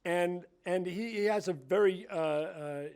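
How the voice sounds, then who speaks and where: A man speaking hesitantly in an interview, filling his pauses with many "uh"s. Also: sits high, darkish tone, clean tone. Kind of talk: casual junket interview.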